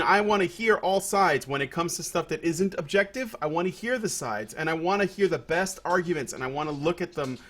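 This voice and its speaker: Guy from puerto rico hosting a podcast, kinda high voice, rambly, restarts a lot. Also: a bit bright. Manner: rambling, many restarts and fillers. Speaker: podcast host. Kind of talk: casual chat.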